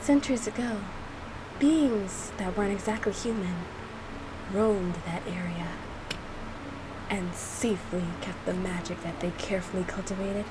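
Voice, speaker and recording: Woman putting on a slow, solemn fantasy narrator. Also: medium pitch, close to an everyday voice. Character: solemn storybook narrator of a fantasy visual novel. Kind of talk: scripted narration read aloud. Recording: clearly noisy room recording.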